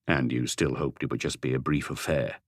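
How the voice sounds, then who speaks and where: Man as police chief, low-pitched, calm, patient, terse probing questions, audiobook mystery, dry sound. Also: normal speed, dry recording. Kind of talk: audiobook character dialogue.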